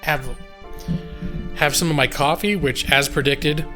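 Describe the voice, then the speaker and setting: A slightly hoarse man streaming a game live, chatting casually and ramblingly to his viewers. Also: on the high side, fairly even intonation, measured pace, music in the background. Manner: rambling, conversational, addresses chat directly. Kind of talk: casual chat with viewers while gaming. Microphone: close microphone on a boom arm.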